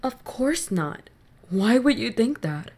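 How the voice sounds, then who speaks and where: Woman acting an animated, devoted village mother. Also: a little high, slow pace, heavily acted. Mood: gentle, reassuring. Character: devoted, excitable mother, an eccentric village baker. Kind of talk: amateur audio drama acting.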